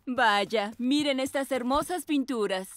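Mamá panda amable de voz aguda. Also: female voice, sits high, a little slow. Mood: admiring. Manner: gentle, expressive, motherly. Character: kind, cheerful mother panda. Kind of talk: children's cartoon dub acting. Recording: music behind the voice.

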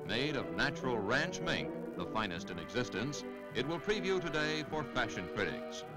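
A man's voice: mid-range voice, very animated, moderate pace, poor audio. A man with an American accent reading scripted 1950s newsreel narration in a polished announcer delivery. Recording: 1950s newsreel soundtrack, music behind.

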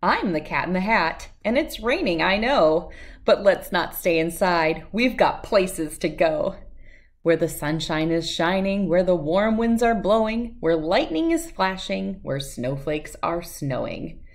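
A middle-aged American woman speaking expressively and clearly as a host of children's storybook read-alouds. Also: on the low side, animated, unhurried. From United States, lived in Wisconsin.

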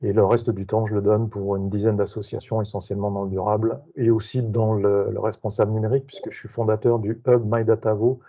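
Man, low and mellow, hesitant self-intro over a dull video call. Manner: hesitant, many fillers and restarts. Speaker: association member introducing himself.

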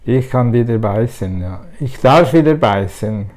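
A man's voice: mid-range voice, lively intonation, unhurried, a little mellow. A man in his sixties chatting casually as a dental patient about his treatment. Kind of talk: casual testimonial conversation. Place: small dental clinic room. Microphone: lapel mic.

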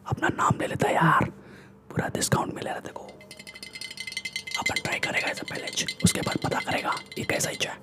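A young man playing a swaggering, bullying Mumbai gangster in a breathy ASMR whisper, with slangy, boastful Mumbai Hindi speech, close to the microphone. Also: very high-pitched, voice pushed up, heavily acted. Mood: greedy, boastful. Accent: Mumbai Hindi street slang. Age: young adult. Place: small room indoors. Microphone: close desktop condenser microphone.

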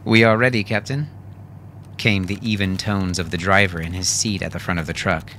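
A man's voice: low voice, fairly even intonation, fairly quick, bright, forceful tone, slight background noise. A man reading scripted audiobook fiction as its narrator.

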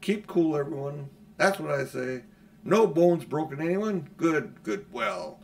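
Storybook cabbie, read by a man: hearty, plain-spoken, pitched up, steadying. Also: animated, measured pace, gravelly, darkish tone. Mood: hearty, reassuring. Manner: firm, colloquial, reassuring.

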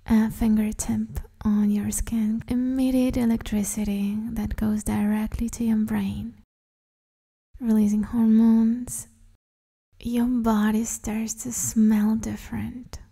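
A woman leads a guided meditation in a very dark, velvety voice that often slips toward a whisper. Her delivery is slow and soothing. She keeps her intonation even as she gently gives instructions. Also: a little high. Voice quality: soft, breathy, half-whispered.

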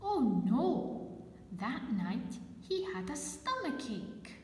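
A woman reads a children's picture book aloud at an extremely slow, deliberate pace. Her fairly high voice swings expressively up and down as she addresses young listeners. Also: big pitch swings, darkish tone, a little hiss or hum. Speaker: children's storyteller. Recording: echoey, reverberant room.

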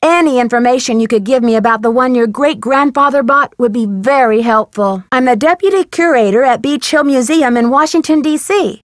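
A woman playing a polite, inquisitive young female detective in a video game, speaking earnestly in clearly phrased scripted dialogue. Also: sits high, a little fast, few pauses, no room sound, studio quality, lightly acted.

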